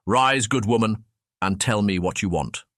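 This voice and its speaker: A man's voice: lowish voice, normal speed, fairly bright tone, no echo, studio quality, natural voice. A man playing a stately Sultan, regal and formal, in a fairy-tale audiobook. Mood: regal, curious. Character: curious, stately male Sultan addressing subjects.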